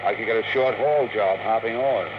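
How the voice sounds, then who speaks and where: A man playing a gruff, wary rocket captain in a 1950s radio drama, blunt and informal. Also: lowish voice, normal speed, natural voice. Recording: muffled, noisy 1950s radio recording.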